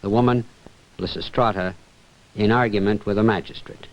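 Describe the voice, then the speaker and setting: A man's voice: on the low side, fairly even intonation, normal speed, a little hiss or hum. A man delivering formal scripted narration as a presenter introducing a dramatic reading. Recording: dull, muffled recording.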